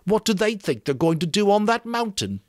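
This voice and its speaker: A scornful, fussy boy played by a man grumbles through an audiobook scene. The voice sits well up in pitch and moves around animatedly, full of complaint. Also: very animated, moderate pace, no echo, clean studio sound. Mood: grumbling. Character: scornful, fussy boy scolding childish companions. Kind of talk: dramatic audiobook character reading.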